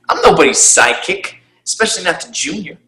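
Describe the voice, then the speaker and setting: A woman's voice: lowish voice, animated, moderate pace, sharp tone, roomy sound. A woman reading the part of a brash, impatient teenage girl at a table read.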